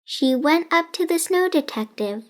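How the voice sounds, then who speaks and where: A woman narrating a children's storybook in a deliberate, carefully enunciated way. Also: extremely high pitch, animated. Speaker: children's audiobook reader.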